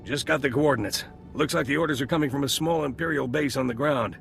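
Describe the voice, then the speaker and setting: An actor playing a gruff, businesslike male military intelligence agent in a video game. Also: mid-range voice, fast, a bit bright, soft background music. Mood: dry, businesslike.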